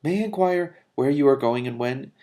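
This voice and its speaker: A man's voice: mid-range voice, lively intonation, normal speed, dark tone, lightly acted. A man voicing a courteous male elf steward in a storybook, formal and eager to serve. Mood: deferential, formal.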